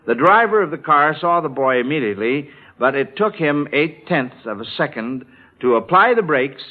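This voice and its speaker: An American man hosting a mid-century radio quiz show in a folksy announcer's delivery, on a vintage radio recording. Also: a little high. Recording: vintage radio, narrow telephone-like band.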